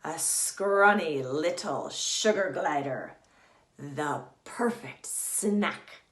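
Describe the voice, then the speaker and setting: A woman voicing a sly, menacing, hungry snake in a children's storybook, with drawn-out, hissing, taunting delivery. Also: sits low, animated, fairly bright tone, echoey room, pitched below the performer's own voice, full character voice. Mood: sly menace.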